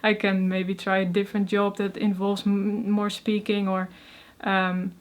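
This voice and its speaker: A young woman with a Dutch accent speaks as an interview guest, stuttering and breaking off on first sounds. She is soft-spoken and uses many fillers. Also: medium pitch, level tone, unhurried. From Netherlands. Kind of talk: casual interview conversation.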